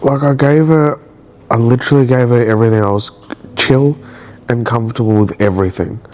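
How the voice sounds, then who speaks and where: A man's voice: medium pitch, brisk, a little mellow, low-quality recording. Stuffy-nosed man rambling emotionally. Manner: rambling, emotional, searching for words. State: nasal from a cold. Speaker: vlogger. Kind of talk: confessional video diary.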